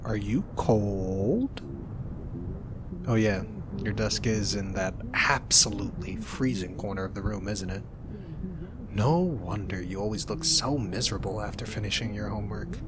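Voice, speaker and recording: A young man doing an ASMR roleplay as a roommate, talking softly in a teasing, reassuring way. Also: on the low side, a little slow, noisy background.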